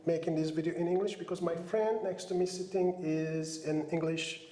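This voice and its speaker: A man's voice: a little high, fairly even intonation, moderate pace, warm tone. A man whose first language is Turkish hosts a casual film podcast in English, rambling with many "you know" fillers. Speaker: podcast host. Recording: roomy room echo.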